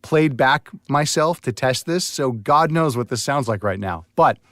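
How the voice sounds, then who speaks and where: A man's voice: mid-range voice, animated, measured pace, a bit bright, no room sound. A man in his forties hosting a casual interview from his home studio booth, asking questions in an easygoing way. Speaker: podcast host.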